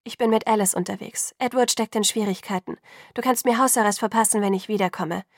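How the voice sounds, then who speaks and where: A woman's voice: medium pitch, fairly quick, no room sound, studio quality, lightly acted. A woman voicing a determined but torn teenage girl in an audiobook, earnest and emotional. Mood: hushed, apologetic.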